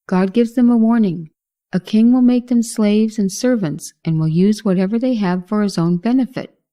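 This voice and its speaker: A woman narrating a scripted Bible history lesson in a measured, formal reading manner. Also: mid-range voice, warm tone.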